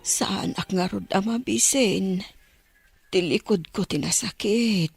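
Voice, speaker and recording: A woman's voice: medium pitch, slow, vocal fry, breathy edge, dry recording, soft background music, exaggerated voice. An actress playing an ailing, needy old mother who whines plaintively, with an Ilocano accent, in a radio drama. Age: older. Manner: plaintive, whining.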